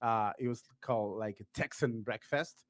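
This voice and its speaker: A man's voice: medium pitch, normal speed, dry recording. Man, rambling with fillers. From Uruguay. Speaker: podcast guest. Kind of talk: casual podcast chat.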